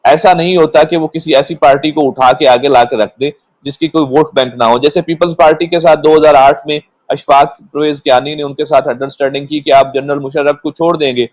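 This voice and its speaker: A man with a Pakistani accent speaking as a guest commentator, explaining politics in a measured, unscripted way and sometimes repeating words. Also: a little high, no room sound, rough recording. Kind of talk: unscripted political analysis in a panel discussion.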